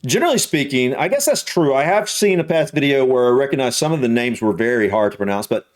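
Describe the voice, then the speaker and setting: A man with a Southern US accent talks through a reaction video in a loose, halting way, circling back over his own words. His voice sits at an ordinary, middle pitch. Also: expressive. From United States. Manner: hesitant, restarts and repeats words often. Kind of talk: casual reaction commentary.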